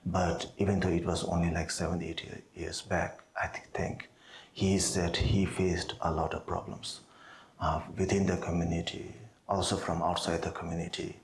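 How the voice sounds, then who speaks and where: Deep, flat-voiced monk, Tibetan native speaker. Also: male voice. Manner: halting, many pauses and fillers. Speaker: monastery head, Buddhist teacher. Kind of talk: opening remarks before a teaching. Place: monastery hall. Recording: roomy hall, faint background noise.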